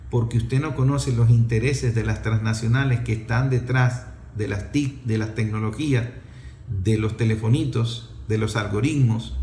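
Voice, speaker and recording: A man's voice: medium pitch, warm tone, roomy sound, slight background noise. A middle-aged man with a Venezuelan accent giving an impassioned opinion talk in an emphatic, scolding way, full of rhetorical questions.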